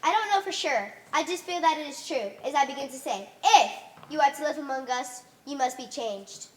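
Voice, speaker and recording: Woman playing high voiced holy leader of a cult, stern n bossy, stage play, formal solemn lines. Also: lively intonation, fairly quick. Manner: formal, authoritative, solemn declamation. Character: stern, commanding cult leader of blue people, addressed as Holy One. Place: theatre stage. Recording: live stage recording.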